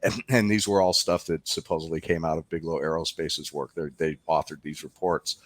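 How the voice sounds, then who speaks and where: A man with a low voice and a dry, close sound talks as the guest in an interview. He explains his work in an emphatic, conversational way, building point by point. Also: fairly even intonation, fairly quick, no room sound. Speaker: documentary filmmaker, interview guest.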